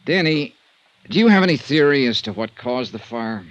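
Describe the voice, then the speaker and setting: A man's voice: medium pitch, lively intonation, moderate pace, no room sound, lo-fi sound, close to an everyday voice. An actor playing a shrewd, insistent male investigator in vintage radio drama, with wry, probing questions. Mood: pressing.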